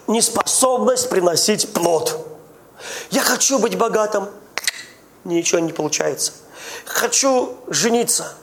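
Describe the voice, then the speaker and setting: High-voiced man preaching. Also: a little hiss or hum. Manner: lively preaching with questions to the congregation; speaks in tongues. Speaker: pastor. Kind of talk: sermon.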